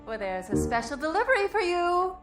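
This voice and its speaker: An animated, nosy landlady. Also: very high voice, fairly quick, soft background music, full character voice. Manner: chatty, prying, easily affronted. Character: nosy, indignant landlady in her fifties. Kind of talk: radio drama acting.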